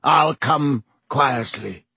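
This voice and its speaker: A man voices a haunted, snarling escaped prisoner with a rough, croaking edge. The voice is very husky and recorded dry and close. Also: mid-range voice, drawn-out words, bright, forceful tone, no echo, full character voice.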